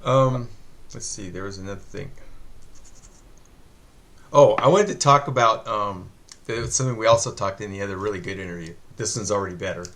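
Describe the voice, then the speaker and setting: A man's voice: fairly low pitch, a little fast, pauses often, fairly bright tone. Rambling male interview host. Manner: rambling, many fillers, joking. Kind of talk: casual interview chat.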